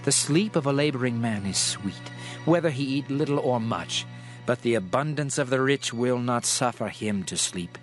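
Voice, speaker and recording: A man's voice: mid-range voice, expressive. Solemn man reading scripture over music. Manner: solemn, measured, declamatory delivery. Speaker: scripture narrator. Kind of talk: dramatized audio Bible reading. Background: background music.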